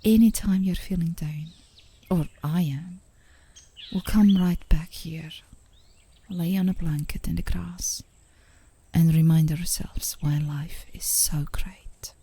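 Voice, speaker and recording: A woman playing a tender, playful, adoring girlfriend in an intimate ASMR romantic roleplay, speaking softly and gently, often in a whisper. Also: fairly low pitch, steady tone, full character voice. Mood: gentle, hopeful. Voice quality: soft, breathy, often whispering. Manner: gentle, affectionate, unhurried, giggly. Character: tender, playful, adoring girlfriend comforting her partner outdoors.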